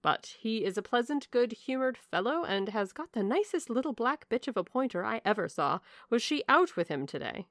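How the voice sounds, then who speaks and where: Woman acting a jovial country squire, storybook character, bluff, loud, emphatic, lively pitch, dry sound. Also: normal speed, few pauses, no room sound. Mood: bluff, puzzled. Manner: bluff, emphatic, good-humoured. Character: hearty, blunt, jovial older country gentleman.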